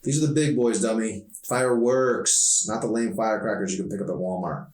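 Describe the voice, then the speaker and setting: A man's voice: fairly low pitch, brisk, a little mellow, close to an everyday voice. A man voicing a sarcastic, teasing, spirited teenage girl from a novel, in an echoey recording. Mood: annoyed, sarcastic. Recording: echoey room, distant mic.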